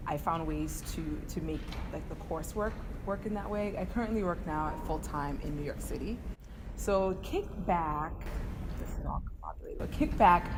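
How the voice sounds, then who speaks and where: A woman with a deep, low-pitched voice chats energetically about starting her event series. She has a casual, talkative way of speaking, and clear background noise sits under her voice. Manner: energetic, chatty, many "like" fillers. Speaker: event co-founder. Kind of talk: interview, casual storytelling.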